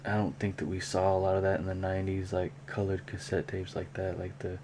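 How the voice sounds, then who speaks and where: A man talking casually and unscripted in a hobby show-and-tell vlog, with a rambling, halting delivery. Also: sits low, completely flat delivery, darkish tone. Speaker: hobby vlogger, cassette collector. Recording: clear background noise.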